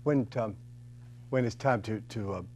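A man's voice: on the low side, a little slow, darkish tone. An older man hosting a campy talk show, chatty and teasing, speaking into a desk mic in a small studio with a faint hum.

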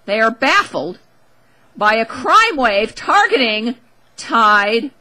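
A woman's voice: fairly high pitch, very animated, slow pace, noisy background. A woman hosting a talk show, giving a casual, opinionated monologue in a wry, emphatic, conversational way into a close studio microphone.

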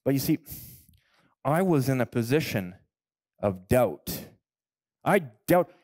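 A man, a pastor telling his own story in a sermon, building to loud, emphatic peaks. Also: mid-range voice, sing-song, slow pace, dry recording, studio quality. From Canada. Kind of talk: sermon with personal testimony. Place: church stage, indoors. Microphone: headset mic.

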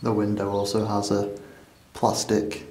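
A British man exploring an abandoned building, giving calm, musing commentary. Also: fairly low pitch, measured pace, slight background noise. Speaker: urban explorer host.

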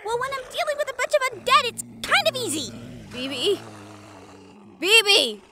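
A woman's voice: extremely high pitch, very animated, moderate pace, heavy background noise. A woman voice-acting a tough young fighter in a fantasy action audio roleplay, with dramatic, sweary banter.

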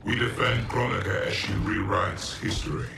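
A man's voice: lowish voice, fairly even intonation, moderate pace, vocal fry, recorded from a distance. A man voicing a cold, loyal cyborg ninja in a video game, with formal, mechanical delivery. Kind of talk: dramatic video game acting. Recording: echoey, noisy machinery ambience.

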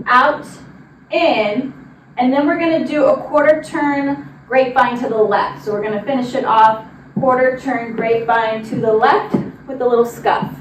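Casting: young female dance instructor, high voice, counts steps aloud, recorded at a distance in a studio. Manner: directive, demonstrating while moving. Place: large indoor dance studio with wooden floor. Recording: roomy, distant mic with noise.

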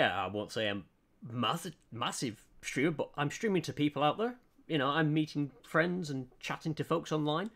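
A man's voice: medium pitch, fairly bright tone. Earnest, hesitant man streaming. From United Kingdom. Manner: earnest, hesitant, many ums and restarts. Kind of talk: casual live-stream chat while drawing.

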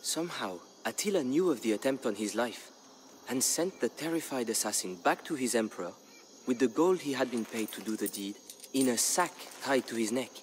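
A man narrating a historical tale as a dramatic scripted story, in a measured, grave storyteller's delivery. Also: medium pitch, animated, slight background noise, rough recording. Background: background music.

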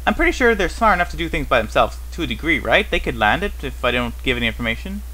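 Bright-voiced man rambling through gameplay commentary. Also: mid-range voice, big pitch swings, fast, poor audio. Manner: rambling, thinking aloud with frequent questions to himself. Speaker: host of a gaming series. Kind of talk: casual unscripted gameplay commentary.

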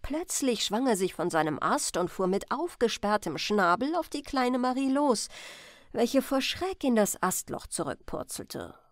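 Slow, lively woman reading a fairy tale. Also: on the high side, no echo, clean studio sound. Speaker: audiobook reader. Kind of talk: scripted bedtime fairy-tale narration.

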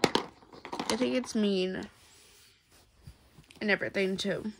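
A woman's voice: mid-range voice, creaky, background noise. A woman with a cold, sounding stuffed up, chatting casually to her viewers while she colors. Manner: soft, unhurried, chatty with pauses. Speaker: coloring channel host. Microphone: overhead camera on hands.

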